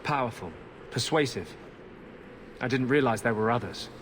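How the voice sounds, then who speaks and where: An actor playing an earnest, tormented former warden mage in a fantasy video game. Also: male voice, mid-range voice, animated, moderate pace, a bit bright, slight background noise, lightly acted. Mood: thoughtful. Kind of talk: video game cutscene acting.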